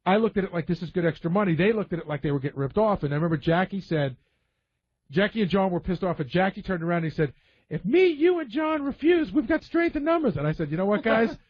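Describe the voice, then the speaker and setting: Higher-voiced man telling radio stories, muffled sound. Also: sing-song, fast. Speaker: radio show staff member. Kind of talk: casual radio talk, storytelling. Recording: dull, muffled lo-fi audio.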